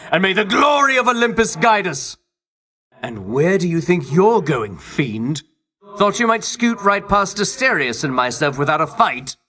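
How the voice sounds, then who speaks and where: An actor playing a pompous, self-righteous Greek champion in a video game, declaiming in grandiose archaic phrases with theatrical scorn. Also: male voice, a little high, a bit bright. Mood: battle-ready, indignant. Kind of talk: video game character voice acting.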